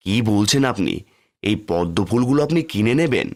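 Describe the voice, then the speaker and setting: A man's voice: fairly low pitch, animated, fairly quick, darkish tone. A man voicing a humble, simple village father in a cartoon, homely and easily amazed. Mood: humble, puzzled. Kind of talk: cartoon fairy-tale voice acting.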